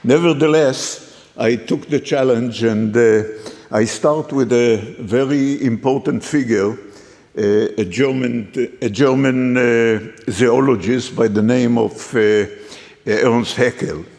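Slow, halting man lecturing in a hall. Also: medium pitch, gravelly, a little mellow. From Middle East. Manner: hesitant, halting, many fillers and restarts. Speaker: guest lecturer. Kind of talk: academic lecture with slides.

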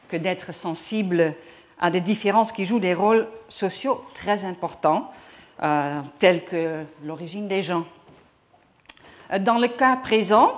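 Careful Dutch-accented woman lecturing. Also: lowish voice. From Netherlands. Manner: careful, hesitant, with frequent pauses. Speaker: guest lecturer. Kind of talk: formal academic lecture. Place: lecture hall.

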